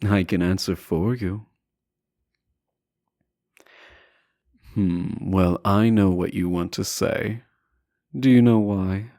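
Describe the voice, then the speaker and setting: Slow, low-voiced teasing prince played by a man. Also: steady tone, no echo, studio quality. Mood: smug, coaxing. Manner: smug, teasing, softly deliberate, courtly formal phrasing. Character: teasing, self-assured deposed royal prince, secretly fond. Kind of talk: romantic audio roleplay acting, addressing the listener.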